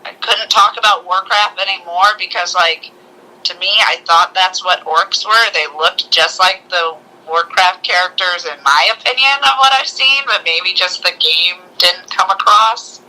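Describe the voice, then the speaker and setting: A woman co-hosting a casual movie discussion, talking in an excitable way and acting out little quotes. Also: lowish voice, measured pace, low-quality recording. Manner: excitable, acts out little quotes as she talks. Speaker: co-host of a movie review show.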